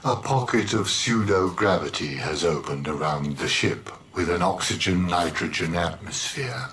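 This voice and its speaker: A very low-voiced, miserable robot from a radio drama. It drones in a sardonic deadpan, superior and full of contempt for everyone around it. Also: male voice, moderate pace. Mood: deadpan sulking. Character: gloomy, contemptuous, depressed robot with a superior intellect. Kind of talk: radio comedy drama acting.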